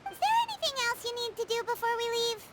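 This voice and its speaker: A woman voicing a small childlike fairy companion in a video game, squeaky, bubbly and earnest. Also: animated, fast, very noisy. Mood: eager, helpful. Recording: music behind the voice.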